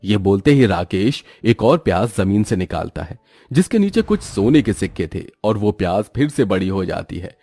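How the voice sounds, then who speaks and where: Lively, mellow male storyteller. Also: fairly low pitch, normal speed, no echo, clean studio sound, faint music behind. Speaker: story narrator. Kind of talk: scripted storybook narration.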